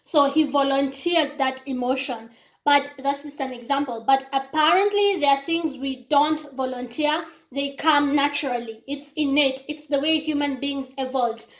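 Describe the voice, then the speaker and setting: A woman's voice: high voice, moderate pace, reverberant. A woman from Kenya with a Kenyan accent, English not her first language, talking casually to her viewers in a chatty, digressive way. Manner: chatty and digressive, acts out quoted reactions. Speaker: vlogger. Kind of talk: casual talking-to-camera monologue.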